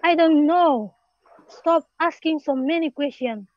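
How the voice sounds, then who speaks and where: A grumpy giraffe uncle played by a young woman in a readers theater. The voice is high for an uncle and impatient, over a dull video-call connection. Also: unhurried. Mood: annoyed. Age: young adult.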